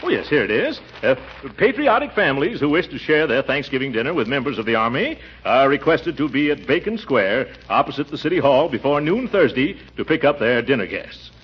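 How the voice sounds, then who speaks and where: An actor playing a pompous, good-natured middle-aged American uncle in 1940s radio comedy, with hemming, chuckling bluster. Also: male voice, medium pitch, very animated, brisk, breathy edge. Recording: muffled, noisy 1940s radio, studio audience.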